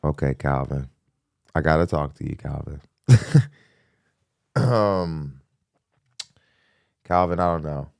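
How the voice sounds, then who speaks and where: Very deep-voiced man roasting a clip. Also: takes it slowly, gravelly, pauses often, dry recording, clean studio sound. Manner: blunt mocking roast, laughing, repeats himself. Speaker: podcast host reacting to a clip. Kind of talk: casual live reaction commentary.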